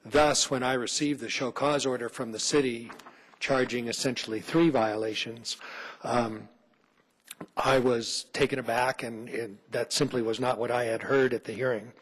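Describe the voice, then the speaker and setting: A man with a rough, hoarse voice from laryngitis, an attorney making a formal argument before a licensing board, with many fillers. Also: medium pitch, fairly even intonation, normal speed, a bit bright, rough recording. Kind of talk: formal legal argument at a hearing. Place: city licensing hearing room.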